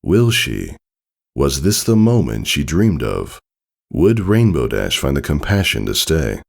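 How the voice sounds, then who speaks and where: A man narrating a scripted story dramatically, in a solemn and measured manner. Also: rumbling low, very animated, vocal fry. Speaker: fan fiction narrator. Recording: soft music behind the voice.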